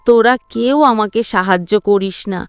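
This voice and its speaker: A woman's voice: medium pitch, moderate pace, clean tone, dry recording, faint music behind, lightly acted. A woman voicing an aggrieved, overworked eldest daughter-in-law in a dramatic cartoon. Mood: wounded anger.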